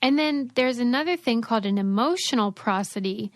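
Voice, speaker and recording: A chatty woman co-hosts a podcast in a relaxed conversational style. Her voice sits a little high. The recording is close and dry, with no room sound. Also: brisk, no room sound. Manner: chatty, reads ad copy. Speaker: podcast co-host. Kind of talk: casual chat.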